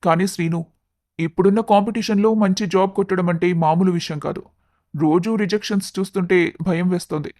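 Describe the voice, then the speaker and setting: A man acts out an affectionate but anxious young man in an animated moral story. The voice sits high for a male and sounds very dry, with an earnest, confiding delivery. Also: a little fast, no echo, close to an everyday voice. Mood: worried.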